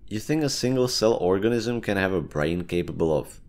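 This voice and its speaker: A man acts out a tiny talking parasite with a deep, low voice. The creature is snarky and smug. It speaks in a casual, teasing, conversational way, as in an audio roleplay aimed straight at the listener. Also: lively intonation, normal speed, darkish tone. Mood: teasing, incredulous.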